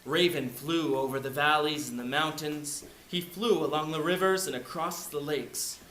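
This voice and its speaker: A man's voice: a little high, fairly even intonation, normal speed. A man narrating a folk tale for children in simple, short phrases. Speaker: storyteller. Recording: echoey room, faint background noise.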